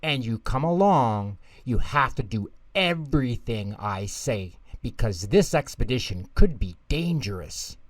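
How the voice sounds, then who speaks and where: A man's voice: medium pitch, animated, unhurried, hardly any pauses, no echo. A man voicing a bossy, scornful little girl in a children's storybook, talking down to the smaller kids. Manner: bossy, condescending.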